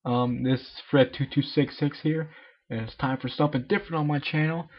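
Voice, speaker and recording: A man's voice: a little high, steady tone, a little fast, highs cut off. A young man reading fan fiction informally, with jokey, self-deprecating asides. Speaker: amateur fan fiction reader.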